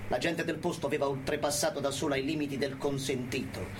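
An actor playing a proud, combative revolutionary prisoner who speaks in firm, defiant statements in a radio play monologue. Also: male voice, medium pitch, fairly even intonation, fast, noisy background, lightly acted. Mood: defiant, resolute.

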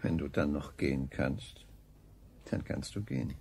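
A man's voice: a bass voice, expressive, a little slow, creaky, a little mellow, a little hiss or hum, exaggerated voice. An actor playing a cold, mocking king-father in a radio play, condescending and falsely soothing. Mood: cold mockery. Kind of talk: 1970s German radio play acting.

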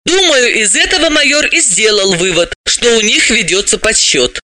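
Here is a brisk, self-assured woman sleuth from an audiobook, acted out by a man. The voice is pitched high and sounds very bright, swinging widely as it explains with businesslike emphasis and a mocking edge. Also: expressive, no echo, heavily acted. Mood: insistent. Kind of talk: audiobook character voice.

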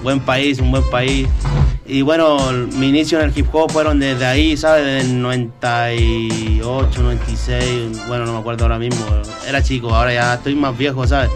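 Laid-back Chilean rapper over music. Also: male voice, medium pitch, normal speed. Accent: Chilean Spanish. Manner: relaxed, repeats "¿sabes?" often. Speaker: guest rapper. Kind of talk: casual interview chat. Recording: radio studio with loud music bed.

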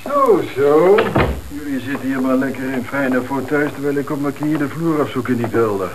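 An old radio drama with light hiss. A man plays a police investigator with a medium-pitched voice, reporting his findings in a precise, factual way. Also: lively intonation, moderate pace, close to an everyday voice. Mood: grumbling. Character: grumbling, precise male police forensic investigator. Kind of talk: radio play acting. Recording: hissy old radio play recording.

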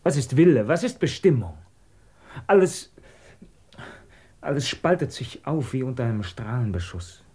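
An actor in a German radio drama playing a brooding, conscience-torn scientist, speaking in an introspective, theatrical way. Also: male voice, medium pitch, big pitch swings, moderate pace, long pauses, dark tone, husky. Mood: anguished, searching.

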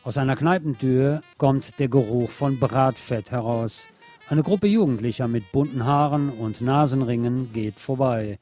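A man hosting a late-night radio show, delivering a literary scripted monologue in a deliberate, poetic storytelling way. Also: medium pitch, dark tone. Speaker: radio host. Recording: music playing under the voice. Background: music.

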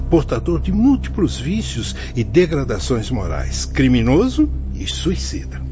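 An actor playing a benevolent priest guide in a Brazilian radio drama, speaking in a solemn, measured, didactic way with a Brazilian accent. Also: male voice, medium pitch. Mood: grave, earnest. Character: benevolent priest guide in a spirit-world reformatory. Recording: dull lo-fi audio with faint noise.

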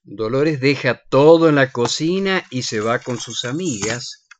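A man's voice: mid-range voice, lively intonation, unhurried. A man reading scripted stage directions as the narrator of a radio play.

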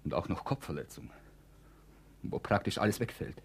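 An actor in a 1960s German radio play, playing a shrewd, officious psychiatry professor who hesitates and then pronounces emphatically. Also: male voice, sits low, expressive, normal speed, breathy edge, full character voice. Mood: conspiratorial, emphatic.

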